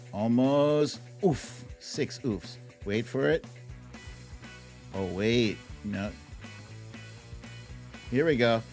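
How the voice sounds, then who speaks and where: A livestream host commenting casually on clips in a playful, expressive way, with silly exclamations. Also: mid-range voice.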